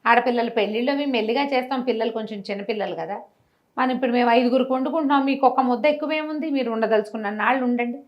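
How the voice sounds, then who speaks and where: Fast-talking woman storyteller. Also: on the high side, dull audio. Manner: animated, didactic, acts out dialogue with rhetorical questions. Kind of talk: conversational moral storytelling with commentary.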